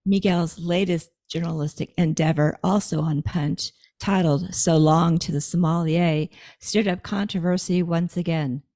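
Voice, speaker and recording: A mellow, slightly low-voiced woman reads a scripted guest introduction in an even, measured way. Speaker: podcast co-host.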